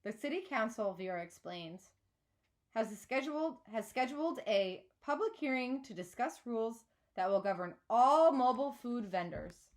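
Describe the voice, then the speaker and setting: A woman reading a children's novel aloud like a teacher, in an expressive storytelling manner. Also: on the high side, moderate pace. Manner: clear storytelling with acted dialogue.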